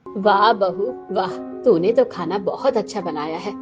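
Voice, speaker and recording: A woman's voice: medium pitch, unhurried, close to an everyday voice. A woman voicing a gushing middle-aged aunt in a Hindi cartoon story. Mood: admiring. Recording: dull lo-fi sound under music.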